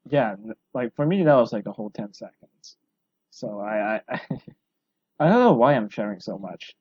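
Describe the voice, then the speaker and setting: A man hosting a solo podcast, rambling and self-deprecating, his voice hoarse from talking a long time. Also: mid-range voice, darkish tone, dry recording, highs cut off. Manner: rambling, self-deprecating, full of fillers and restarts. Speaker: podcast host.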